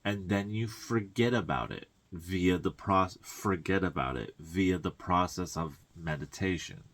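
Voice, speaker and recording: Very deep-voiced man giving spiritual guidance. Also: measured pace, fairly bright tone. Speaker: tarot reader and spiritual guide. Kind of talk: informal spiritual coaching monologue.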